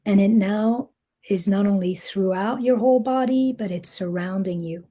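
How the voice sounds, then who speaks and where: A woman guiding a meditation in a soft, breathy, gentle voice, speaking deliberately with long pauses. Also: medium pitch. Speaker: meditation guide. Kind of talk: guided meditation, slow soothing narration.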